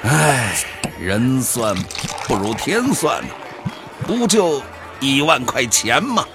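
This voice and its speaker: Guy acting resigned middle aged bloke, animated pitch, slow, crowd and music behind. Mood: rueful. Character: resigned middle-aged male ordinary citizen, laughing off a loss.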